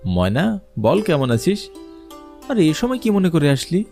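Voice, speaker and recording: A man's voice: on the high side, very animated, measured pace, vocal fry, darkish tone, pitched above the performer's own voice, full character voice. A man voicing a greedy, hot-tempered brother in a cartoon, with dramatic exclaiming delivery and music behind him. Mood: curt, dismissive.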